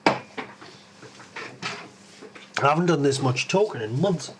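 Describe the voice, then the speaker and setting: A man's voice: on the high side, expressive, slow, background noise. A man with a Geordie accent hosting a live tech stream, musing aloud with lots of ums and restarts as he answers viewers. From Tyneside, England.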